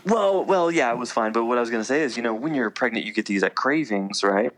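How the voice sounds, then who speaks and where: A man's voice: medium pitch, quick pace. A man in his thirties, a podcast guest, telling stories in easy casual chat with frequent fillers and laughs. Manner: relaxed storyteller, laughs often, many fillers. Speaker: podcast guest, veteran and teacher.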